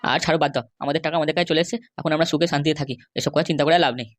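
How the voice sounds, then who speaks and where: Guy doing a henpecked west bengal husband in a cartoon, talks really really fast, wheedling and grumbling. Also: a little high, steady tone, no echo, lightly acted. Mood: earnest. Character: henpecked, pleading middle-aged husband, small-time politician. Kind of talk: comic cartoon dialogue.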